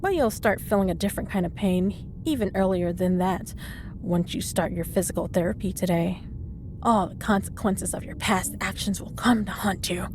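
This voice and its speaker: Smug, flirty villainess over noisy rumble played by a woman. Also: natural voice. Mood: taunting. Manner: teasing, mock-sweet, drawn-out smug delivery. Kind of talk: comedic audio roleplay banter.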